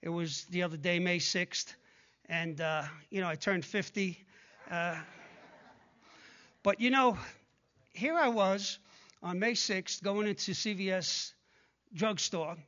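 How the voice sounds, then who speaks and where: A man in his sixties preaching a sermon, speaking conversationally and growing hushed when he prays. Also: sits high, slow pace, pauses often, dull audio. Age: 60s. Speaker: pastor. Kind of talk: sermon with prayer and scripture reading.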